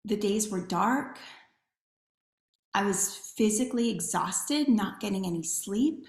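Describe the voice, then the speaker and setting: A woman's voice: mid-range voice, animated, takes it slowly, a little mellow, echoey room. A woman in her thirties from Chile, a podcast guest telling her life story in a candid, reflective way with frequent "right?". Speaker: podcast guest, community builder. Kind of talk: personal storytelling interview.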